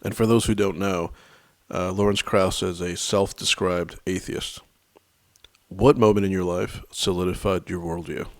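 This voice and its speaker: A man's voice: low voice, steady tone, unhurried. A man hosting an interview, introducing his guest and asking brief, direct questions. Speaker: podcast host.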